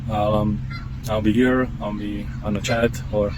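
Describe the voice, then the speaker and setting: A man's voice: fairly low pitch, flat delivery. Czech-accented man, fillers and restarts. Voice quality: halting, many fillers and restarts. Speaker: product tester. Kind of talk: casual vlog narration. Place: outdoors on trails and beach. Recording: outdoor noise.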